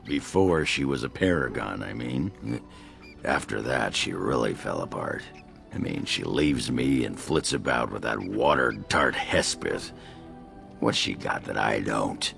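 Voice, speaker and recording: Gravel-throated, very deep old dwarf. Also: male voice, heavily acted. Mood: crudely scornful. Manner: crude, blustering, muttering. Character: gruff drunken old male dwarf warrior, crude and sore. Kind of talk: video game character acting.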